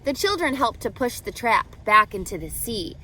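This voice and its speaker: A woman reading a picture book aloud to children as a teacher, expressively, pausing to ask guiding questions and explain. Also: fairly high pitch, very animated, bright, forceful tone, a little hiss or hum, low-quality recording.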